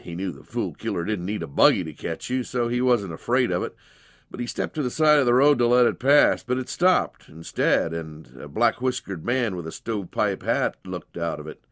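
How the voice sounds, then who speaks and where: Folksy man storyteller, very animated pitch. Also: normal speed, no echo, clean studio sound. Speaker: narrator, audiobook reader. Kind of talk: dramatized story narration.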